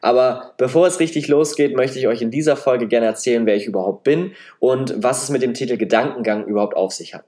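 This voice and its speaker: A man's voice: medium pitch, quick pace, hardly any pauses, dark tone. A man in his twenties with a nasal voice and a slight Saxon German accent, hosting a solo podcast in a chatty, self-deprecating way. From Leipzig, eastern Germany. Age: 20s. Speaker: podcast host. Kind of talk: semi-scripted solo podcast monologue. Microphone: podcast microphone.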